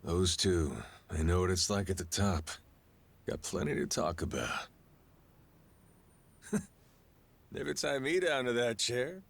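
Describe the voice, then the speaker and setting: An actor playing a spirited aging gangster turned pirate captain in a video game, with slangy, folksy, drawling talk. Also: male voice, lowish voice, sing-song, slow pace, pauses often, full character voice. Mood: reflective. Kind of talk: video game cutscene acting.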